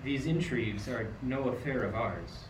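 A grave, fatalistic wise king of radio drama, acted by a man. He pronounces solemnly, like an oracle, in a roomy, distant recording with clear noise. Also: medium pitch, moderate pace, natural voice. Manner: solemn, oracular. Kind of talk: amateur radio play acting. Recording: echoey room, distant mic, clear noise.

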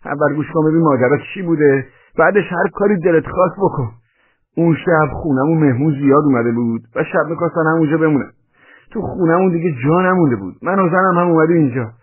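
Casting: man as brash male trespasser, argumentative bluster, pitch leaping about, boxed into a tinny narrow band. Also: sing-song, slightly rough. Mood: flustered pleading. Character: brash, blustering male intruder, quick to argue. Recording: tinny band-limited radio-like sound.

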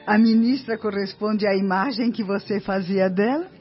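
A woman's voice: mid-range voice, moderate pace, lightly acted. A Brazilian actress playing a patient, helpful female guide who explains things in a scripted radio drama. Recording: dull lo-fi radio recording.

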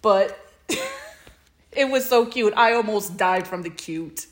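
A woman's voice: medium pitch, wildly swooping pitch, takes it slowly. A woman co-hosting a casual fan podcast, talking with gushing enthusiasm. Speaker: podcast co-host.

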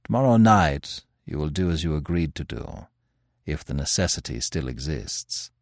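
A man voicing a composed, grave leader who speaks quietly and in a measured way. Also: animated, dry recording, studio quality, heavily acted. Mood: calm, grave. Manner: quiet, measured, low delivery. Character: grave, composed man leading a secret group.

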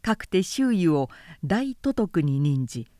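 A woman narrator reads a historical tale at a slow pace. Her pitch moves widely in a dramatic, measured way. The sound is close and dry. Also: big pitch swings, warm tone, no room sound. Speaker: narrator reading literature aloud. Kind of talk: scripted narration of a historical novel.

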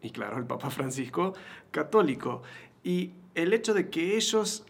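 A man's voice: on the high side, expressive, normal speed, creaky, slightly rough. A man in his thirties with an Argentine Spanish accent, hosting an interview podcast in a conversational style. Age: 30s.

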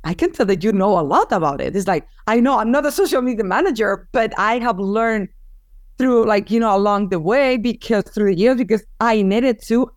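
A woman's voice: medium pitch, expressive, normal speed, dry recording, studio quality. A woman whose first language is Spanish, talking through her work in a casual interview and often restarting as she hunts for words. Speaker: business owner, photographer.

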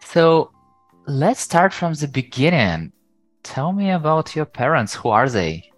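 A woman's voice: sits low, big pitch swings, unhurried, soft background music. A woman whose first language is Ukrainian, hosting an interview and asking short, curious questions. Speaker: host.

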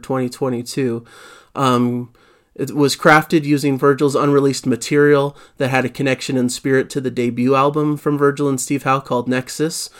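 Easygoing male music-news host. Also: mid-range voice. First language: not Italian. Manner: easygoing, many fillers and restarts. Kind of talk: casual news recap.

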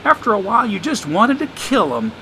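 A sarcastic, drawling homeless man with rough grammar, pitched well up and lively, as a male narrator puts him on in a storybook reading. His voice rings bright and forceful. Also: fairly quick, a little hiss or hum, full character voice, low-quality recording. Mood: wry. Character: folksy homeless older man, wisecracking.

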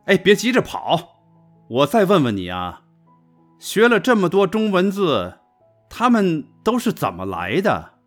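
Man playing an animated, insistent father. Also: high-pitched, measured pace, faint music behind, full character voice. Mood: instructive. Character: insistent, instructive father of a young girl. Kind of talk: radio drama acting.

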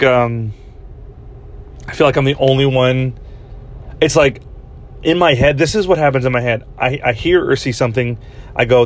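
A man hosting a solo podcast, ranting casually and profanely, rambling while he acts out his own inner dialogue. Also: medium pitch. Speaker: podcast host.

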